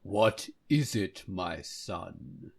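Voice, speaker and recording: Guy doing a stern godly dad, loud booming gravelly voice, radio play style. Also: lively intonation, extremely slow, heavily acted. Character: stern commanding godlike father.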